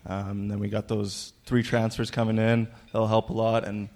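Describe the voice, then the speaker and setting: A young man speaking in a very flat, even tone, almost without pitch movement. His voice is low and laid-back, and his answers come out plain and unhurried. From Canada. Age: 20s. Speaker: hockey team captain.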